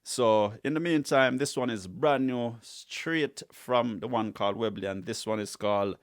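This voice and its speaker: A middle-aged man with a Jamaican accent hosting a music show, casually introducing tracks and giving shout-outs in a conversational, slangy way. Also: mid-range voice, moderate pace, no room sound, studio quality. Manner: conversational, repeats slang affirmations.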